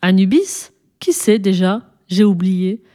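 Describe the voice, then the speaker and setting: A cheeky young schoolboy acted by a woman reader from a storybook, impulsive and curious. The talk is blunt and childish, full of exclamation, in a soft-edged mellow tone. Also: mid-range voice, expressive, takes it slowly. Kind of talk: storybook reading, character dialogue.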